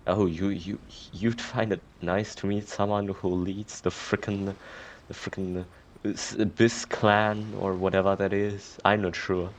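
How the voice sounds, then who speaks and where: A man's voice: deep voice, flat delivery, a little slow, slight background noise. A man giving casual, off-the-cuff commentary on his game, hesitant and thinking aloud as he goes. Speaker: gamer commentating on playthrough.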